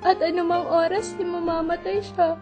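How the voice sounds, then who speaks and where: A woman's voice: extremely high pitch, little pitch movement, normal speed, a little mellow, soft background music. A woman with a Filipino accent narrating as a young woman, in a reflective and wistful way. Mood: sad. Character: young woman recalling her love, wistful. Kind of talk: scripted narration in audio drama.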